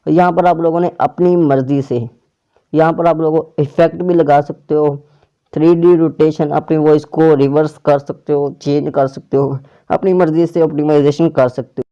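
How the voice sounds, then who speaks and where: Guy doing a casual app walkthrough, kinda high mellow voice, bit dull lo-fi mic. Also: steady tone, normal speed. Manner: step-by-step instructions addressed to viewers. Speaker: tech tutorial host. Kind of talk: casual screen-recording app tutorial.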